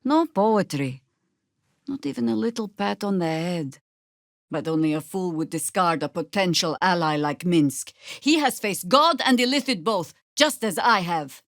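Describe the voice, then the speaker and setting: An actress playing a seasoned, middle-aged druid warrior in a fantasy video game, wry and commanding, with a dry, sardonic, measured delivery. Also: female voice, lowish voice, lively intonation, no room sound.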